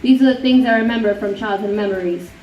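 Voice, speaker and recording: A woman's voice: mid-range voice, fast. A woman in her twenties earnestly reciting a personal poem to an audience, mixing in Spanish words. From Honduran-born, raised in the United States. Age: 20s. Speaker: spoken word performer. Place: indoor fundraiser event room. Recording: echoey room, distant dull mic, noisy.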